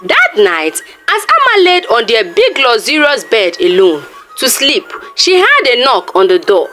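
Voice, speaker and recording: A woman's voice: a little high, huge pitch swings, a little slow, sharp tone, faint music behind. A woman storyteller narrating a scripted folktale in a dramatized way.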